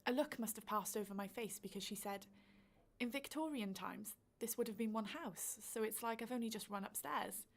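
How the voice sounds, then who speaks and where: A woman's voice: a little high, moderate pace. A woman playing a chatty older female neighbour in a radio play monologue, telling her story in a gossipy, anecdotal way. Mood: confiding.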